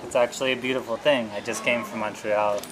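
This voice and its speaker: A man interviewing, speaking reflectively and a little haltingly as he searches for words. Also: lowish voice, fairly even intonation, rough recording. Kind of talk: conversational interview. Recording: busy crowd noise behind. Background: crowd chatter.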